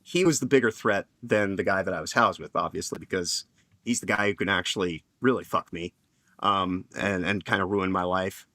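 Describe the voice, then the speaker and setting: A man's voice: low voice, moderate pace, pushed and bright, dry recording. A man on a casual panel stream telling a long personal story, rambling with lots of fillers and restarts.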